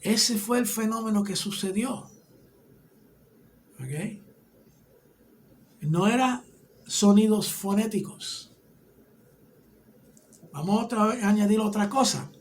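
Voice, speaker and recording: Un hombre con acento puertorriqueño que enseña una clase bíblica, explicando paso a paso y preguntando "¿ok?" a menudo. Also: male voice, sits high, takes it slowly, long pauses. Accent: Puerto Rican Spanish. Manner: explanatory, often checks in with "¿ok?". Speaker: Bible teacher. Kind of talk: teaching a Bible class.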